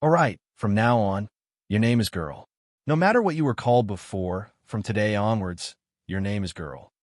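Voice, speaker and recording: A man's voice: on the low side, animated, moderate pace, dry recording, studio quality, natural voice. A man voicing a brash, cocky young male fantasy hero in an audiobook, exclaiming and scoffing as he talks. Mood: commanding. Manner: exclamatory, scoffing, self-interested.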